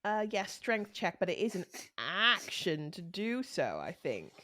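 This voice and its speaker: A woman's voice: lowish voice, wildly swooping pitch, measured pace, sharp tone. A woman casually playing a tabletop game, searching for words as she describes her character's actions. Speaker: player.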